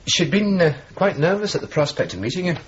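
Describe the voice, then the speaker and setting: Earnest young RAF officer, lively intonation. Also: male voice, fairly high pitch, a little fast. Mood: chatty. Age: young adult. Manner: chatty, questioning, mildly complaining. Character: mild, earnest young male RAF officer, gently fretful. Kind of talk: radio drama acting, conversational dialogue. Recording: muffled, noisy old radio broadcast recording.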